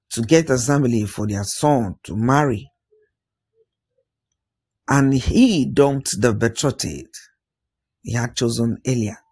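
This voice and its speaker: A man narrating an African folktale as an oral storyteller, with dramatic phrasing, pauses and musing interjections, in a husky voice. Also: mid-range voice.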